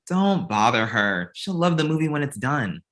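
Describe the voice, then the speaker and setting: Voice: medium pitch, very animated, normal speed, fairly bright tone. An actor playing a glib, self-absorbed amateur male film director in a comedy table read, breezy and confident.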